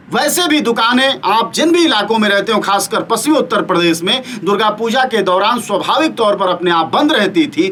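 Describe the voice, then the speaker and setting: A man's voice: high-pitched, bright, forceful tone, a little hiss or hum. A man delivering spoken opinion commentary as a news commentator, pressing pointed rhetorical questions with a sarcastic, scornful edge.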